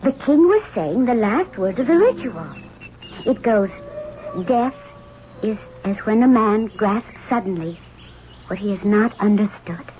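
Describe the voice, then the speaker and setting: An actress playing a gentle, sensible young Egyptian confidante in a 1970s radio drama, earnest, reassuring and theatrical. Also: female voice, medium pitch, expressive, a little slow, pauses often, phone-quality sound, close to an everyday voice. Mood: solemn. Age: young adult. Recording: thin, noisy old radio recording.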